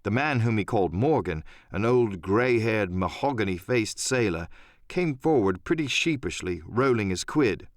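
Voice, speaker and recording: A man's voice: fairly low pitch, animated, normal speed, dry recording, studio quality. A man narrating an adventure audiobook in a dramatic first-person storytelling style.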